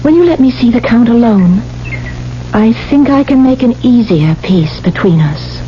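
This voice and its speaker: An actress playing an affectionate, worried young woman, expressive and theatrical, in a 1970s radio drama. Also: on the high side, normal speed, lightly acted. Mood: wry, coaxing. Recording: dull vintage radio, loud background noise.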